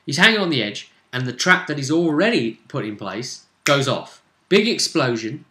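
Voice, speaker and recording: Animated man in an echoey room. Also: medium pitch, normal speed. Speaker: game master recapping a role-play session. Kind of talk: casual storytelling chat. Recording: roomy, echoing home recording.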